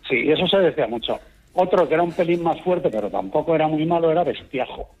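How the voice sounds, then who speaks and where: Calm male caller on a muffled line. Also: a little high, quick pace, husky. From Extremadura, raised in Madrid. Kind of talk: phone-in call to a radio talk show.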